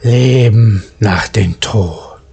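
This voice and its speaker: Slow-paced man hosting a let's play. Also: lowish voice, vocal fry, warm tone, breathy edge. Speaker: gaming channel host. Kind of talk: casual let's play commentary, reading dialogue aloud.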